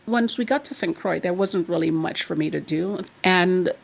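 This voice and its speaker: A woman in a low, gravelly, husky voice. She talks as a podcast guest, chatty and animated as she tells one story after another. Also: normal speed. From Trinidad and Tobago. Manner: chatty and animated, laughs while telling stories. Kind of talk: casual storytelling chat.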